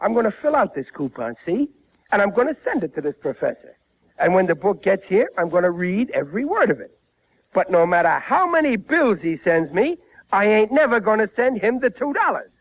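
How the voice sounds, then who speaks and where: Casting: blustering, stubborn American dad for vintage radio sitcom, high swooping voice, muffled old recording. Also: male voice, big pitch swings, a little fast, exaggerated voice. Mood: blustering, defiant. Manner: blustering, stammering, exasperated. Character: blustering, stubborn, easily fooled working-class family father. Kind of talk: 1940s radio sitcom acting.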